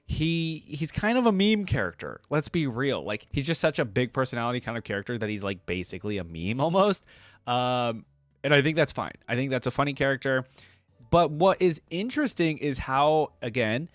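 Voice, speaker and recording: A man's voice: mid-range voice, very animated, normal speed, no room sound, faint music behind. Casual man rambling in a dry recording. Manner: rambling, thinking aloud, conversational. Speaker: video creator reacting to game lore. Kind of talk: casual reaction commentary to viewers.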